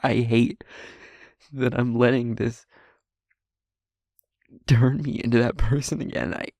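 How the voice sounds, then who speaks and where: A man acting an angry, guilt-ridden young man haltingly confiding in a friend in an intimate audio drama. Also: mid-range voice, fairly even intonation, creaky, a little mellow, dry recording. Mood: angry, self-loathing. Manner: halting, emotional confession with pauses.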